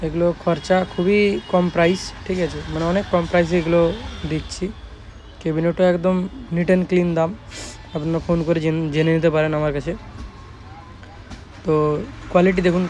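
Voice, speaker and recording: Man, West Bengal Bengali accent, rapid speech, casual shop vlog, repetitive emphatic selling, noisy vehicle background. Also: on the high side, little pitch movement, a little mellow. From West Bengal, India. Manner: emphatic, repetitive sales talk. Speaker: speaker shop owner presenting his work. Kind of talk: casual product showcase vlog.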